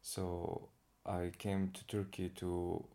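A young man with a very deep voice and a gravelly, creaky edge speaks in a casual, easygoing way. He is from Saudi Arabia, a guest in a relaxed interview who laughs easily as he tells stories. His delivery is unhurried and conversational. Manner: easygoing, laughs often while telling stories.

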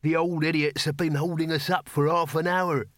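A man's voice: fairly high pitch, little pitch movement, normal speed, a bit bright, no echo, studio quality, voice pushed up, lightly acted. A man voicing an angry, aggrieved cattle driver in a storybook. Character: angry male cattle driver held up in traffic.

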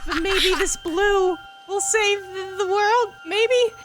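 A woman's voice: extremely high pitch, slow pace, noisy background. A woman voicing an earnest, naive young male hero who travels through time, in a comedic fan dub.

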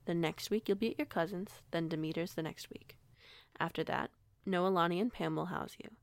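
A woman playing a young, kindly, protective werewolf pack alpha in an audio roleplay, measured and gently authoritative. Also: on the low side, no room sound, close to an everyday voice. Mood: gentle, reassuring.

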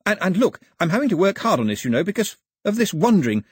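A man voicing a small, eager islander who talks nonstop, tumbling over his words in a voice pitched well above the reader's own. The delivery is husky, and the room sounds dry and close. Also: sing-song, no echo, exaggerated voice. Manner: talkative, stammering, rushing.

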